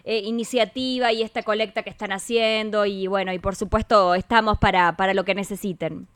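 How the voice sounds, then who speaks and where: A woman's voice: mid-range voice, steady tone, fairly quick, a bit bright, smooth, dry recording. A woman with an Argentine accent hosting live radio, talking in an informal, conversational way. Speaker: radio host. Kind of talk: live radio interview and chat.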